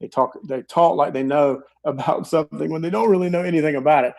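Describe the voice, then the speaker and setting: A man's voice: fairly high pitch, quick pace, vocal fry, a little mellow. A man in his forties, a guest on a video call, earnestly and carefully explaining practical steps through an earbud mic. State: losing his voice. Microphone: earbud headset on video call.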